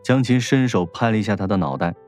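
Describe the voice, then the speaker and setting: A man's voice: lowish voice, quick pace, darkish tone, soft background music. A man narrating a scripted audiobook story in a wry storytelling manner.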